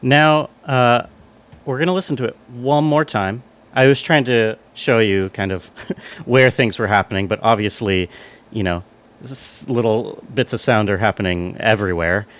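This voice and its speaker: A man with a fairly low voice gives a relaxed, informal walkthrough as a sound designer. He thinks aloud in a halting way and checks in with whoever is listening. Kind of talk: casual tutorial talk, thinking aloud.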